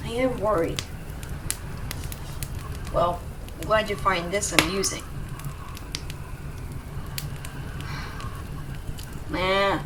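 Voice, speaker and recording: Heard a little away from the mic over clear background noise, a woman acts out a young tsundere rescuer in an intimate audio drama. She is gruff yet devoted, with an emotional delivery that moves between soft murmurs and exasperation at a medium pitch. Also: far from the mic, lightly acted. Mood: worried, coaxing. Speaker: voice actor in audio roleplay.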